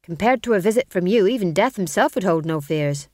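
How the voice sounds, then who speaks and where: Casual: chill veteran actor guy, a woman doing his voice, kind and reassuring, can go slow and hollow. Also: on the low side, animated, darkish tone, no room sound, studio quality. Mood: friendly. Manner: reassuring, slow hollow intoning when acting. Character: calm seasoned male actor, kindly.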